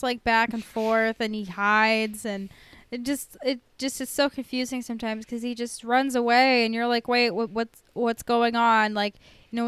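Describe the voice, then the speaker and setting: A woman with a high, smooth voice chats casually as a podcast co-host. She talks informally, swears freely and leans on "like". The sound is very dry, with no room echo. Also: fairly bright tone. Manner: informal, profane, heavy use of "like". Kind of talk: casual chat reviewing a TV episode.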